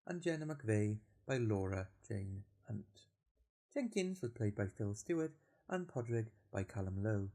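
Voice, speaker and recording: Male announcer, fairly low pitch, scripted read, credits narration. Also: mellow.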